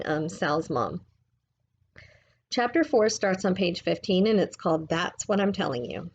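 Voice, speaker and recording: A woman reading a book aloud like a teacher, in a gentle, measured, explanatory way. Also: fairly low pitch, muffled recording, a little hiss or hum.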